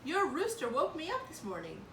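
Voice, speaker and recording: A woman voicing a friendly, hurried male train engineer in a children's storybook, politely calling out to people. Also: high voice, huge pitch swings, pushed and bright, far from the mic, pitched above the performer's own voice, full character voice. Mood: cheerful greeting. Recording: roomy echo, background noise.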